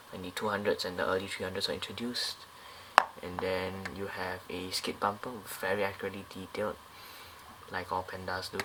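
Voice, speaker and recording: A man's voice: low-pitched, noisy background. A man casually reviewing a scale model airliner up close, talking in a loose, rambling way with many fillers and restarts.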